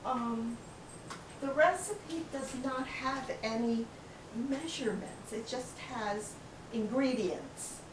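A woman's voice: a little high, animated, slow. An older woman chatting casually while cooking in her home kitchen, telling her helper what to do. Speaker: home cook showing a recipe. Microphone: camera across the room. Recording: echoey kitchen, camera far off, noisy.

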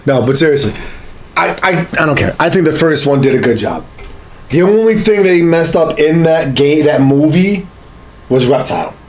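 A man in his thirties chatting informally as a podcast co-host, halting but emphatic, in a small room. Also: fairly high pitch, animated. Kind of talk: casual chat about games and films. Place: small home studio room, indoors.

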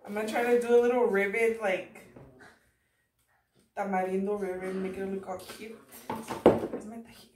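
A woman's voice: mid-range voice, flat delivery, normal speed, long pauses, very noisy. A young woman with a Mexican American accent, chatting and giggling while she makes drinks for her vlog, slipping into Spanish now and then. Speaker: vlog host. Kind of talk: casual cooking chat. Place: home kitchen, indoors. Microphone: camera across the room. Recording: echoey kitchen, from far off.

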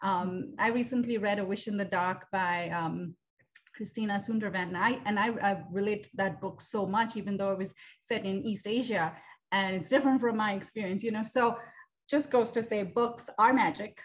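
A woman in her thirties, from India, talks on a video call from her home, her speech loose and animated with many fillers. She speaks at a middle pitch and moves her hands as she talks. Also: moderate pace. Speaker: panelist author. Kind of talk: casual panel conversation. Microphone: video call webcam.

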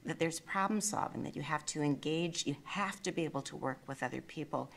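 Low gravelly woman in her sixties. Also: brisk. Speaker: school board member. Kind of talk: board meeting remarks. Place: meeting room. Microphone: desk gooseneck mic.

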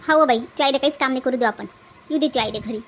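Woman voicing a high-pitched, chatty cartoon village woman. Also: fast, a bit bright, poor audio. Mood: reassuring. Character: brisk, chatty, soothing village woman, a caring relative.

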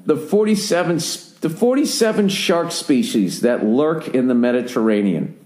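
A profane, rambling American man in his forties hosts a podcast, swearing through a long story. His voice sits dark and mellow. The recording sounds roomy and echoey. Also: on the high side, lively intonation, moderate pace. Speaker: podcast host. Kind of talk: casual podcast chat.

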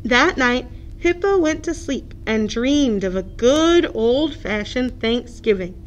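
Slow, high-voiced woman reading a picture book. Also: expressive, slight background noise. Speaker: children's librarian reading at story time. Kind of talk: expressive children's picture-book narration.

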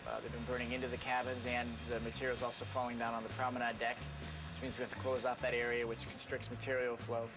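Brief: brisk, earnest male interviewee, mid pitch, music bed. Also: completely flat delivery, poor audio. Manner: earnest, self-critical, explains in detail. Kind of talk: documentary interview.

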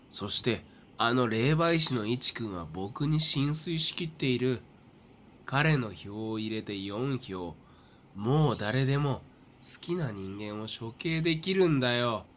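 Smug young male schemer for audio drama, played by a man: suave, mocking, slow, swooping delivery. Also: mid-range voice, slight background noise, close to an everyday voice. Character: smug, theatrical young male madman relishing a deadly game. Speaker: voice actor. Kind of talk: anime-style voice drama acting.

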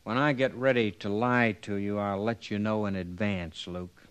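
A man's voice: lowish voice, fairly even intonation, normal speed, fairly bright tone, smooth, natural voice. A man playing a weary, plain-spoken drifter cowboy in an old-time radio western, with a folksy, laid-back manner. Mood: wry.

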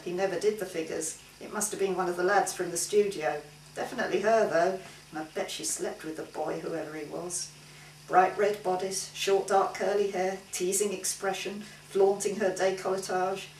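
A middle-aged woman reading a short story aloud as scripted first-person narration in a living room. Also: fairly low pitch. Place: indoors in a living room at a home gathering. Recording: roomy, distant mic, faint hiss.

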